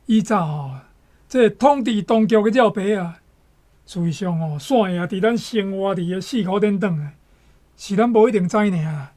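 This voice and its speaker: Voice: medium pitch, animated, moderate pace, a little mellow. A commentator with a Taiwanese accent, speaking in a reflective style.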